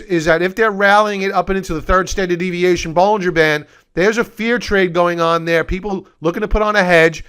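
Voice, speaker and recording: A middle-aged man hosts a nightly market wrap, hoarse from losing his voice yet still resonant underneath. His voice sits a bit high for a man, and he explains his trades in a deliberate, steady way, calling his viewers "folks.". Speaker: market commentary host. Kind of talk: solo market recap over charts.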